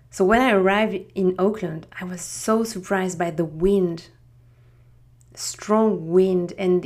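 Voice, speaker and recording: A woman's voice: medium pitch, darkish tone. A middle-aged woman from France with a French accent, a native French speaker, hosting a podcast and telling her own story in a reflective way, often restarting her sentences. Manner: unhurried, reflective, with frequent restarts. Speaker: podcast host.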